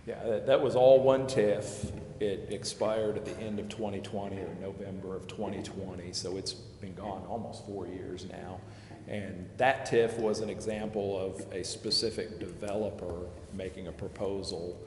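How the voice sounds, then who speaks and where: A man advising a city committee, explaining figures in a measured way and pausing to recall details. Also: low voice, level tone, darkish tone. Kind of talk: explaining figures at a public meeting. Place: city council chamber. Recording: echoey chamber, long reverb, faint hiss.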